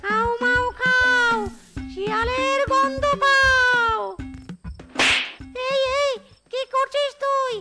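A performer voicing a blustering, easily offended cartoon fox ghost with a squeaky, childlike voice and theatrical, whiny threats. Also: flat delivery, drawn-out words, long pauses, exaggerated voice. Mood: blustering, whining. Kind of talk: animated cartoon dialogue. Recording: music behind the voice.

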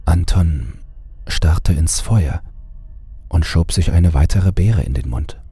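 Very deep, gravelly male horror narrator. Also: slow pace, warm tone, faint music behind. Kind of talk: scripted horror story narration.

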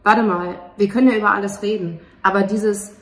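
A young woman speaking casually and spontaneously as an interview guest, halting as she searches for words. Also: medium pitch, steady tone, far from the mic. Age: young adult. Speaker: stage performer and interview guest. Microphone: handheld interview microphone. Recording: echoey, slightly noisy, off-mic.

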